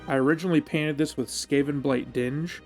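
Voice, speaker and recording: A man giving a measured, explanatory voice-over as the host of a hobby tutorial. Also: fairly high pitch, fairly even intonation, darkish tone. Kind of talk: instructional voice-over narration. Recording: music behind the voice. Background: background music.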